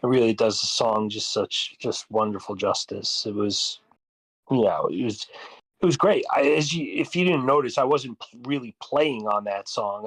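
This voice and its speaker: Male musician, reflective chat. Fairly deep, close dry recording, wandering hesitant delivery. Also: no echo, low-quality recording. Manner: hesitant, rambling, repeats words. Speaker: songwriter and musician. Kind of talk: casual reflective discussion.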